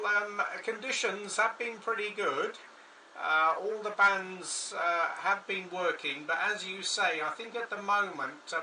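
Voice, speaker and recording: A man with a very bright, forceful voice chats about radio conditions in a halting way, stopping often on little fillers. He sounds a little away from the mic, with faint noise underneath. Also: fairly high pitch, far from the mic, poor audio. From Scotland. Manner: halting, many filler pauses. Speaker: amateur radio operator, show host. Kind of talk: casual radio contact chat.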